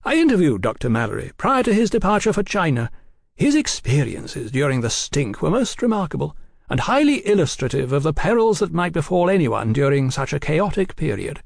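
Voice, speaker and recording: A man voicing a suave, mild-mannered gentleman journalist in an audiobook, courteous, effusive and wordy. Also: a little high, big pitch swings, brisk, vocal fry, dry recording, studio quality, pitched above the performer's own voice, full character voice. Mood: smooth cordiality. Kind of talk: audiobook character acting.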